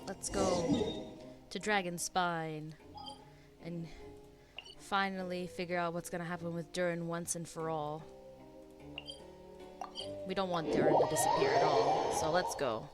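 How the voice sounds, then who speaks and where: A woman with a fairly low voice gives casual live reactions as a game streamer. Music from the game plays clearly behind her. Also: flat delivery, unhurried, long pauses.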